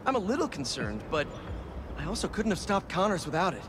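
An actor playing a breezy, oblivious young superhero in naturalistic video game style. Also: male voice, sits high, very animated, brisk, background noise. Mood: dismissive. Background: game music.

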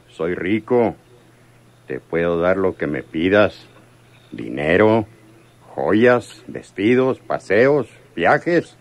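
A man playing an arrogant, rich seducer in a radio soap opera, smooth-talking, domineering and menacing. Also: sits low, exaggerated voice. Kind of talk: Spanish-language radio soap opera acting.

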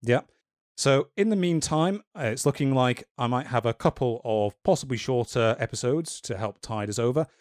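A man hosting a casual podcast, talking in a measured way with wry, dry humor. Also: medium pitch, lively intonation, darkish tone, no room sound. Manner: measured, wry and dryly joking. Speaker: podcast host.

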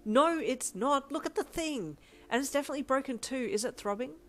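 A woman voicing a blunt, hungry young character who talks in slang and flat deadpan, quick to snap, in a storybook reading. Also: mid-range voice, pitched above the performer's own voice. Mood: distraught. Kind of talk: audiobook character voice.

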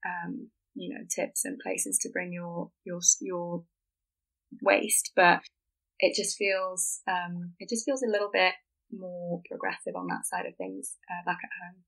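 A woman from Germany chats as an interview guest. Her voice sits a little low and stays very even, and she talks in a thoughtful, earnest way. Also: a little slow, gravelly, poor audio. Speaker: podcast guest, filmmaker and actor. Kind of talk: conversational podcast interview.

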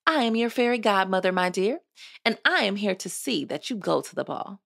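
A woman voicing a tiny, twittering fairy godmother in a storybook, kindly and bossy, with a brisk, affectionate way of talking. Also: medium pitch, animated, gravelly, a bit bright, voice pushed up. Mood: kindly, reassuring. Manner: brisk, affectionate, chanting rhymed spells.

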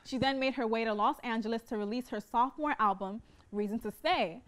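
Upbeat higher-pitched young woman co-host. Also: a little fast, fairly bright tone. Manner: upbeat, teasing, giggly. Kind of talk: reading a guest introduction, then studio chat. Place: TV studio.

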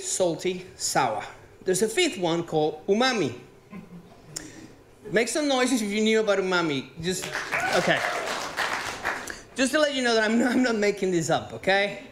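Man, stand-up comic, animated and profane, echoey theater. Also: high-pitched, slight background noise. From Venezuela. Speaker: stand-up comedian. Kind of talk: stand-up routine. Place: theater stage. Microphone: handheld mic.

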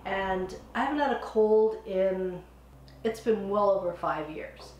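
A middle-aged woman hosting a home cooking channel, a bit hoarse from a cold, explaining a recipe step by step in a friendly, chatty way. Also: mid-range voice, measured pace, a little mellow, reverberant, slight background noise, rough recording. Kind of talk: casual cooking demonstration. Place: home kitchen, indoors.

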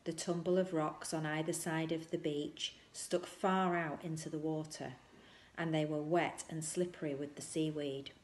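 A woman's voice: low voice, little pitch movement, moderate pace, a little mellow. A middle-aged woman reading a children's story aloud. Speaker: volunteer reader. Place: small indoor room at home.

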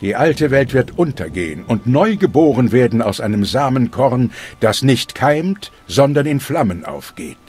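An actor playing a stern, solemn older preacher of a fire cult in a dubbed video game. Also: male voice, mid-range voice, big pitch swings, normal speed, few pauses, darkish tone, breathy edge. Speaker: game character. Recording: game audio with music underneath.

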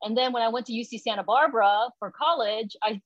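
A woman's voice: on the high side, fast, dry recording. A middle-aged woman from Taiwan chatting eagerly from her home kitchen on a close phone camera. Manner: eager, chatty, lots of "you know". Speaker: guest and alumna. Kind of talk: casual video call chat. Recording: dry, dull video call audio.

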